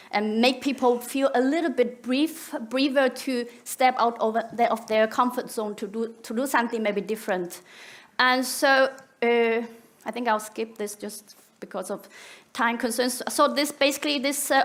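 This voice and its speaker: A woman who is not a native English speaker, giving a conference talk with hesitant, searching phrasing. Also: on the high side.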